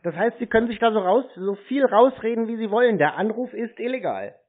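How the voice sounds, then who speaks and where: A man's voice: sits high, expressive, brisk. A man speaking bluntly and insistently in an unscripted phone confrontation. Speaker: scam-baiting call host. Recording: tinny phone-like radio sound.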